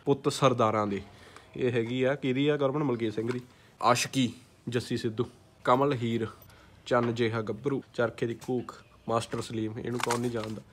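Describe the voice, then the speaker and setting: A man's voice: mid-range voice, little pitch movement, moderate pace, a little hiss or hum. A young man with a Punjabi accent chatting informally as he vlogs, mixing in English words. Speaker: vlogger showing a collection. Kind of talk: casual chat while showing items to camera. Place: small indoor room.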